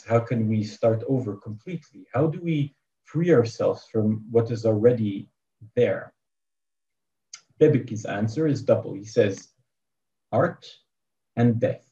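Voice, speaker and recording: A man's voice: mid-range voice, dark tone, recorded from a distance, highs cut off. A man with a Hebrew accent giving a conference lecture from a prepared paper over a video call, hesitant, with many fillers and dry asides. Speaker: academic lecturer.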